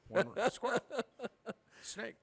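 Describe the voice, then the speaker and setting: A man's voice: takes it slowly, a bit bright, slight background noise. A middle-aged man from Minnesota, a podcast co-host telling rambling stories with frequent laughter. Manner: rambling storyteller, laughs often. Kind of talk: casual chat.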